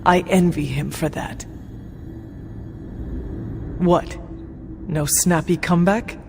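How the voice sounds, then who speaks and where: A woman playing a stoic, resolute warrior in a video game cutscene, with a formal, measured, deadpan delivery. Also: on the low side, gravelly, soft background music. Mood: defiant.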